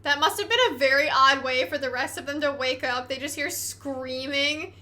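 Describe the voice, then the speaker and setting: Bright, high-voiced woman commentating on gameplay. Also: normal speed. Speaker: let's play commentator. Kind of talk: casual reactive gameplay commentary. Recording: noisy, game sound behind. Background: video game audio.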